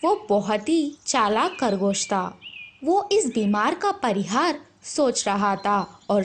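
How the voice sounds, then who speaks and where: Female storyteller for children's tales: high voice, slow scripted narration.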